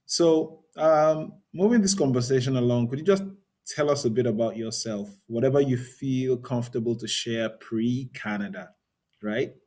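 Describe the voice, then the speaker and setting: A man's voice: mid-range voice, darkish tone. Polite male interview host. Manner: measured, polite, with frequent ums. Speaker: podcast host. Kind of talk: conversational interview.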